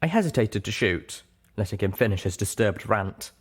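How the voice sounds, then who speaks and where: A man with a fairly low voice narrates an audiobook. He reads scripted first-person story prose. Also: animated, moderate pace, slightly rough.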